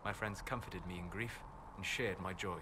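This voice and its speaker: Man playing a deep-voiced, scholarly elven mage. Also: background noise, lightly acted. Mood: earnest, probing. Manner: measured, philosophical, precise. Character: calm, scholarly male elven mage and dreamer. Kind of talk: video game dialogue acting.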